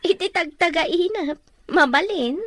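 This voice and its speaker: A woman playing a sweet, humble young mother-to-be, gentle and affectionate, in an Ilocano audio drama. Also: extremely high pitch, measured pace, dry recording. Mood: soft, modest.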